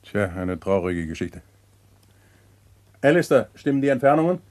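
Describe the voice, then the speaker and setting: An actor playing a shrewd, courteous police inspector questioning witnesses in a German crime radio play, methodical and polite. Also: male voice, lowish voice, sing-song, normal speed, darkish tone, no echo. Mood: sombre.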